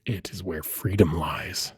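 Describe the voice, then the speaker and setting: A man playing an ancient, seductive demon prince in an intimate ASMR roleplay, hushed and coaxing, with dramatic pauses and a husky, breathy voice. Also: sits low, steady tone, exaggerated voice. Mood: knowing, persuasive. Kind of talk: ASMR roleplay, intimate second-person monologue.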